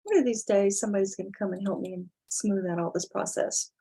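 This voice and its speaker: A woman reading a children's storybook as a dramatic reading, with deliberate, theatrical emphasis. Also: mid-range voice, dark tone, slightly rough. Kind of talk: expressive dramatic storybook reading with casual asides.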